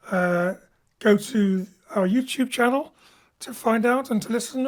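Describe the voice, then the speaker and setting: A woman hosting a casual discussion about theatre and audio drama. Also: mid-range voice.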